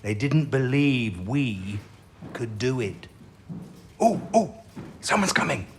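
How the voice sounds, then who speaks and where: An actor playing an eccentric, ailing older British codebreaker in an audio drama, halting and exclamatory, with a fondness for whimsy. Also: male voice, medium pitch, big pitch swings, a little hiss or hum. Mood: wistful, tipsy.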